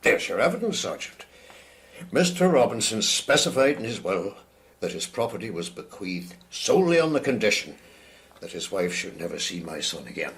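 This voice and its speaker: A guarded, grieving old clergyman in a period radio play. His low-pitched voice rises and falls widely, with measured, courteous and hesitant phrasing. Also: big pitch swings, a bit bright. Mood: firm, insistent. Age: older. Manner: hesitant, measured, formal wording. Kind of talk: period radio drama acting.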